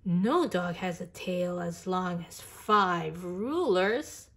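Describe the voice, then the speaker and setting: Woman as a sensible, doubting young boy, slow-paced. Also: lowish voice, expressive, fairly bright tone, full character voice. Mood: skeptical.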